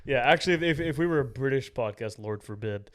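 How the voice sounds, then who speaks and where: A young American man co-hosting a podcast in relaxed casual chat, full of slang and quick agreement. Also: mid-range voice. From United States. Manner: laid-back, lots of "yeah" and slang. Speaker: podcast co-host.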